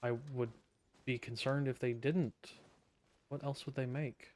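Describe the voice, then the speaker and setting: A man's voice: mid-range voice, fairly even intonation, a little slow, darkish tone, a little hiss or hum. Wry man chatting over a game. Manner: wry, joking asides. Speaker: streamer. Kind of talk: casual gameplay commentary.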